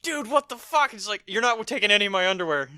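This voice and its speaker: A man's voice: high voice, expressive, fast, sharp tone, clear, resonant tone, dry recording. An American man co-hosting a podcast, chatting casually about a film in a rambling, profane storytelling way. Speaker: podcast co-host. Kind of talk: casual film review chat.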